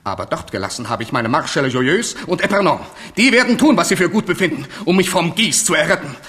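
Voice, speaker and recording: High-strung, volatile monarch, highish voice, animated swoops, theatrical radio drama. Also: male voice, fast. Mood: agitated. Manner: volatile, swinging from outbursts to plaintive murmurs. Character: capricious, wounded, high-strung French king. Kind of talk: German radio play acting, theatrical.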